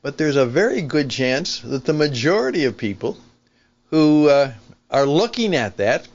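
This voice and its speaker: An older man hosting an opinion podcast, speaking off the cuff in a deliberate, emphatic way and repeating phrases for stress. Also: fairly high pitch, big pitch swings. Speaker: podcast host, veteran broadcaster. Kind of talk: unscripted opinion monologue. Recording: dull lo-fi sound.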